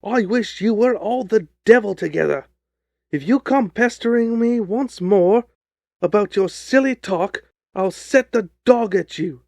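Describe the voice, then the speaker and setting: Man voicing a loud, surly Victorian market salesman. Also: high-pitched, measured pace, gravelly, dry recording, pitched above the performer's own voice. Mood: furious. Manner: blustering, combative. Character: surly, cocksure Victorian poultry salesman, quick to anger. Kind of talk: audiobook character voice.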